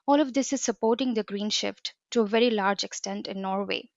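A woman from India speaks, explaining things fluently and earnestly. Her voice has a gravelly fry to it. The audio is dry and a little dull. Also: medium pitch, brisk, no room sound. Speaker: guest, business developer. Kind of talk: podcast interview.

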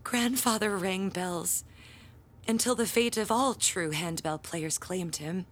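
A woman's voice: lowish voice, slow, a little hiss or hum. Pompous, theatrical church bell ringer woman. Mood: solemn pride. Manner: grandiose, theatrical storytelling. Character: pompous, fiercely proud church handbell ringer woman telling family lore. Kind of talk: audio drama acting.

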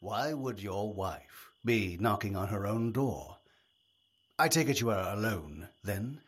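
A wry court conspirator in a medieval audio drama. He speaks in a lowish register at a leisurely, measured pace, sly and controlled. Faint music runs beneath him. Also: expressive, husky, heavily acted. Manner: measured, dryly sardonic.

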